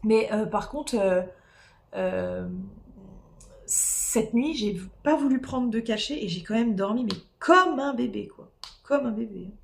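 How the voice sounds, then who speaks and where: A woman's voice: fairly high pitch, lively intonation, unhurried, creaky, a little hiss or hum. A woman with a cold and a sore throat, tired and losing her voice, chatting casually and rambling with viewers from home as she works on a craft. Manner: rambling, chatty, many fillers and restarts. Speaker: streamer doing diamond painting. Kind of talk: casual live-stream chat with viewers.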